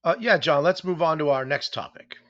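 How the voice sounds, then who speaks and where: A man's voice: a little high, fairly quick, a bit bright, no room sound. A man with a Boston accent co-hosting a casual podcast, chatty and jokey, often turning questions to his co-host. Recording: dull, dry podcast audio.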